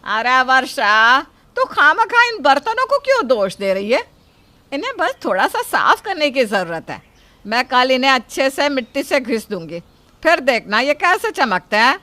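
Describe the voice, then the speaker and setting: A woman's voice: sits high, very animated, normal speed, pushed and bright, dry recording, exaggerated voice. A woman playing an older, thrifty mother-in-law, motherly yet scolding, with an emphatic theatrical delivery in a moral story. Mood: exasperated. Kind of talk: acted dialogue in a Hindi moral story.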